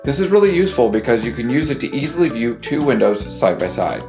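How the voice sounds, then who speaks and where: A man reading a scripted tutorial voice-over in an even, read-aloud way. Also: mid-range voice, fast talker. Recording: played video in a roomy hall with music.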